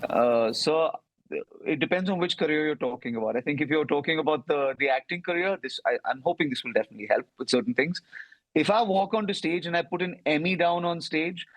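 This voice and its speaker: A man with an Indian accent, a comedian answering interview questions in a reflective conversational way, often adding quick asides. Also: mid-range voice, no echo, rough recording.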